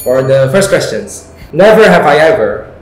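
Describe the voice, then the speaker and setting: A young man with an Indonesian accent hosts a casual game in halting, non-native English with loose grammar. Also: on the high side, big pitch swings. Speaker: host. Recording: echoey room, background music.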